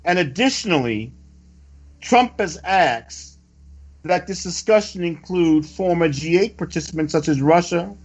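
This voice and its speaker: A man reading a scripted news report with preacherly commentary, in a deliberate, emphatic and solemn way. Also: fairly high pitch, lively intonation. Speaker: religious report reader and commentator. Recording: dull lo-fi audio with music. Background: background music.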